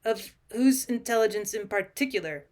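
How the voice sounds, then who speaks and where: A woman's voice: medium pitch, animated, normal speed, a little mellow, close to an everyday voice. A woman voicing a coldly sardonic talking male cat in a storybook reading, haughty, unruffled and cutting. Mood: cool disdain. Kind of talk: audiobook character voice, British classic short story.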